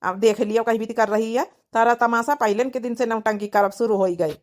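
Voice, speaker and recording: A woman's voice: a little high, fairly even intonation, fairly quick, natural voice. A woman voicing a hot-headed, grumbling young village husband in an Awadhi comedy cartoon, indignant and complaining, with an Awadhi accent. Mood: dejected, grumbling. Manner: indignant, complaining rural speech.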